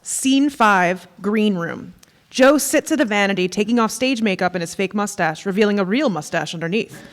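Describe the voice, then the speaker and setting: A woman's voice: mid-range voice, animated, normal speed. A woman reading stage directions as scripted narration at a live staged play reading. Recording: reverberant theatre hall.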